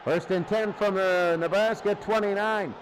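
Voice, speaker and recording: A man's voice: high-pitched, fairly even intonation, moderate pace, crowd noise. A man doing informal live play-by-play football commentary, with muttered asides to himself. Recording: clearly noisy game broadcast.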